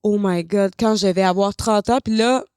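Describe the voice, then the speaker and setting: A woman with a Québécois accent hosting a podcast, relaxed and asking follow-up questions. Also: mid-range voice, moderate pace, clean tone, no room sound, studio quality. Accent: Québécois French. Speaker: podcast host. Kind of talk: casual conversation.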